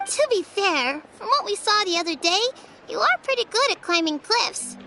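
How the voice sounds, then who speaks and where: A high-voiced sulky child. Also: female voice, very animated, moderate pace, full character voice, poor audio. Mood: matter-of-fact. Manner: childlike, earnest. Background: game music.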